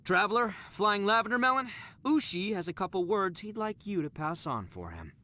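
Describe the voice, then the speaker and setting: A man's voice: sits high, sing-song, normal speed, background noise. A man playing a boisterous, brash young fellow with a big appetite in a video game. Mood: hearty.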